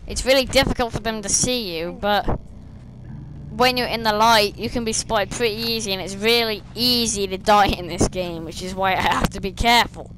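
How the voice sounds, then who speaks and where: A young woman, slightly hoarse and losing her voice, chatting and rambling as she plays a stealth game at her desk, talking to the enemies on screen. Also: medium pitch, steady tone, moderate pace, background noise. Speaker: gameplay streamer. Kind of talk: casual gameplay commentary. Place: small room indoors, seated at a desk.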